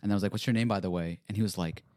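Man, low voice, casual podcast chat, laughs a lot, dry close sound. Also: steady tone, very fast, a little mellow, no echo. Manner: laughs often, tells anecdotes. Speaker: podcast co-host.

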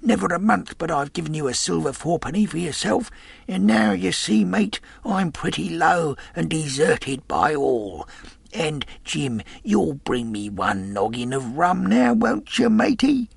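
A man voicing a weak, drunken old sea captain who wheedles and blusters in sailor slang, with a gravelly, husky voice. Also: fairly high pitch, lively intonation, unhurried, dry recording, voice pushed up, exaggerated voice. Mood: pleading. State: weak, feeble voice.

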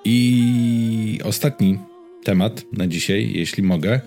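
A Polish man with a very deep voice co-hosts a casual gaming podcast. His delivery is conversational and a bit halting, broken by pauses. Soft music sits faintly behind him. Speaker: podcast co-host.

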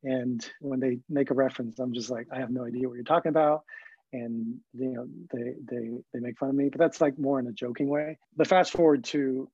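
A man's voice: medium pitch, steady tone, a little fast, dark tone, husky, no echo, dull audio. A man in his forties from Hong Kong who learned English as a child, telling personal stories as a guest in a conversational interview. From Hong Kong, then the California Bay Area from age ten. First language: not English, learned it at about ten. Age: 40s.

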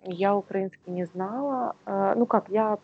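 A woman from Ukraine co-hosting a casual podcast, speaking in a halting, searching way. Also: medium pitch, vocal fry, husky, phone-quality sound. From Kyiv, Ukraine. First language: Russian. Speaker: podcast co-host.

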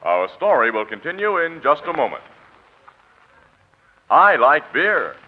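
Tinny vintage radio, male announcer, highish pitch, animated intonation, punchy sales delivery, scripted commercial. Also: unhurried, faint music behind. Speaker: radio announcer reading sponsor ad. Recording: tinny, band-limited old radio broadcast.

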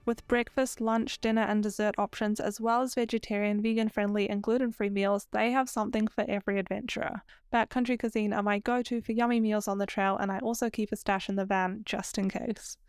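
Host: young New woman, upbeat reads and easy chat, dry sound, soft music bed. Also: mid-range voice, no echo. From New Zealand. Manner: upbeat, listing things in short phrases. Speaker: podcast host. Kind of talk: scripted intro and ad read, then casual interview.

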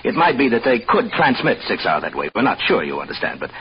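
An actor playing a mild, chatty research scientist, earnest and a little hesitant, in old-time radio drama style. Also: male voice, medium pitch, sing-song, fast, close to an everyday voice. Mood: earnest, flustered. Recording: muffled, faintly noisy old radio recording.

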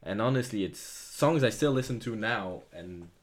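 Young French-born man, lowish voice. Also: expressive, moderate pace. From France. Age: young adult. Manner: rambling, filler-heavy storytelling. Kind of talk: casual podcast chat.

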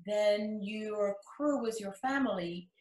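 Interview guest: older woman from Cuba, medium pitch, measured storyteller, recorded with noticeable room echo. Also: darkish tone. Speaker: interview guest, retired flight attendant. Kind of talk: recounting life story in casual interview. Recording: echoey, roomy sound.

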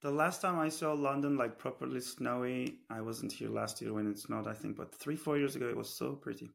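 A man's voice: medium pitch, fairly quick, vocal fry. A man hosting a podcast interview, upbeat and chatty, asking personal questions. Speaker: podcast host.

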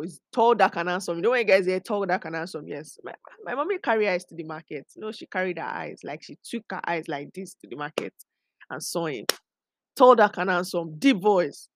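A deep-voiced woman with a Nigerian accent chats to her podcast listeners. Her delivery is casual but emphatic, circling back to repeat phrases for effect. The sound is very dry, with no room echo. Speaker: podcast host. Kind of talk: casual conversational monologue to listeners.

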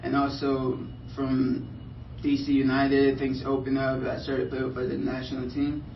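Young male athlete from Liberia, unsure and filler-heavy, gravelly voice, recorded from a distance with light noise. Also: medium pitch, completely flat delivery, unhurried, echoey room, highs cut off. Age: young adult. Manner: hesitant, filler-heavy, soft-spoken. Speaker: professional soccer player. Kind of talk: press interview answers.

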